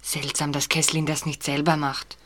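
A woman's voice: low voice, flat delivery, moderate pace, a bit bright, no echo, clean studio sound. A woman playing a jumpy, curious teenage boy detective in an audio drama.

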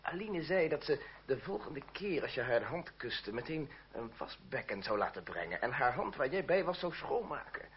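Radio play role: self-assured, chiding gentleman schemer, acted by a man, lively, on a muffled old tape. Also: mid-range voice, moderate pace, lightly acted. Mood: gossiping. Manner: reproachful, urbane. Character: worldly nobleman, exasperated but loyal friend, self-assured schemer. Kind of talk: Dutch radio play acting. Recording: muffled, faintly noisy old radio recording.

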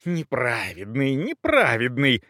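A man's voice: mid-range voice, wildly swooping pitch, takes it slowly, bright, forceful tone, dry recording, clean studio sound, heavily acted. A man voicing a haughty young countess who speaks in indignant, emphatic outbursts in an audiobook. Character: young furious countess, haughty, shrill-tempered, scandal-making.

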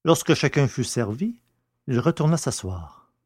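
A man narrating a science-fiction story from a script in a measured, literary way. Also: mid-range voice, lively intonation, darkish tone, husky, no echo, studio quality.

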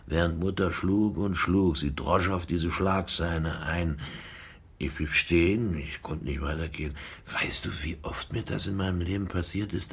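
An actor playing a bitter, insecure middle-aged former general, a wounded son, in a naturalistic radio drama. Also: male voice, low-pitched, slightly rough, full character voice.

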